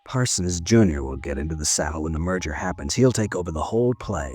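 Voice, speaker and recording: A man's voice: sits low, lively intonation, fast talker, a little mellow, slightly rough. An actor playing a weary, bitter henchman of a tycoon, resigned and cynical, in 1950s radio drama style. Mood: guarded, explanatory. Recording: restored old radio broadcast, faint music.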